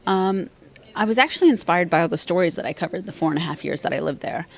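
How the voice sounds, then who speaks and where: A woman in her thirties, a former journalist and author, answering interview questions in a measured, thoughtful way with frequent fillers. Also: lowish voice, gravelly. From Afghanistan, raised in Virginia, USA.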